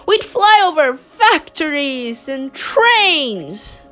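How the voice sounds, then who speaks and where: A woman reads a picture book aloud, gently and expressively. Her voice is high and lively, her pace unhurried, and soft music plays beneath her. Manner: slow, expressive, gentle reading. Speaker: storybook reader.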